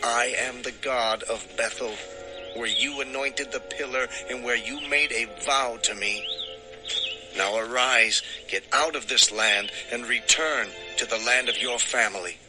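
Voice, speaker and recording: An actor playing an earnest, wronged shepherd husband, declaiming in a measured way in a dramatized scripture story. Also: male voice, medium pitch, over music, lightly acted. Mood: reverent, solemn.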